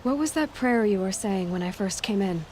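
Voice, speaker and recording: A woman's voice: medium pitch, expressive, moderate pace, darkish tone, slight background noise, lightly acted. An actress playing a plain-spoken young woman hunter in a video game, talking in a casual, direct, wry way. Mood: friendly, curious. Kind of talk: naturalistic video game acting.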